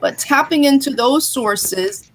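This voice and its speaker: Woman coach, kinda high voice, earnest and emphatic, giving advice on a live show. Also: lively intonation, takes it slowly, husky, low-quality recording. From born in England, raised in Jamaica and New Jersey. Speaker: guest, business coach and author. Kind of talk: live interview chat.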